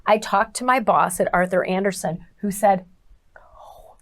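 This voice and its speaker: A middle-aged woman telling personal stories as an interview guest, in an animated conversational way, acting out bits of dialogue. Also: medium pitch, measured pace. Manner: animated storyteller, acts out quoted dialogue. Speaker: guest, dating and relationship coach.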